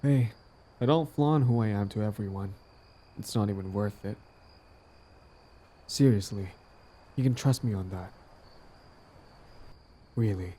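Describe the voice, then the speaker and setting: Guy acting as smug villain boyfriend on a date, low relaxed warm voice, smitten, casual intimate roleplay audio. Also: measured pace, long pauses, breathy edge. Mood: tender, sincere.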